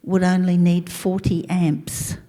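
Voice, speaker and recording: Unhurried Australian woman, mellow tone, hesitant delivery. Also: fairly low pitch, level tone, big-room sound.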